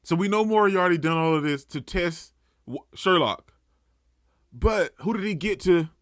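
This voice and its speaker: A man giving a casual, excitable reaction and review as a channel host, often repeating himself for emphasis. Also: sits high, measured pace, no echo, studio quality.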